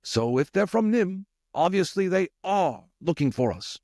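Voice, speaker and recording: Man voicing high-pitched, earnest rat leader. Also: very animated, darkish tone, dry recording, clean studio sound, exaggerated voice. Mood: grave, resolute. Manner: measured, questioning, deliberate. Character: earnest, commanding older male rat leader, deliberating gravely. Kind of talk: audiobook character voice.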